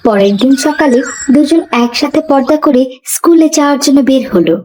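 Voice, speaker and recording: High-voiced woman narrating a children's story. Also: expressive, normal speed, darkish tone, a little hiss or hum, rough recording. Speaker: narrator and voice performer for a children's cartoon.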